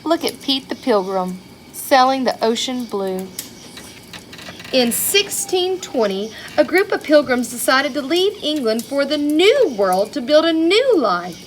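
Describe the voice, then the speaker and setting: A woman reads a picture book to young children at a very unhurried pace. Her voice sits fairly high and she is expressive, chatting to her listeners with questions and asides. There is faint noise behind her. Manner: expressive, child-directed, with rhetorical questions and exclamations.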